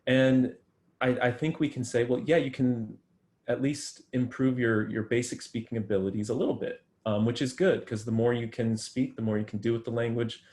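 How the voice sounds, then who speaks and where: A man's voice: medium pitch, fairly even intonation, normal speed, a little mellow. A man answering interview questions about his research, thoughtful and full of ums and restarts. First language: English. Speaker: guest researcher.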